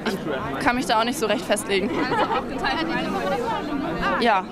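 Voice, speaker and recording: Young woman in a loud crowd. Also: mid-range voice, expressive, a little slow. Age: young adult. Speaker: market visitor. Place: crowded Christmas market outdoors at night.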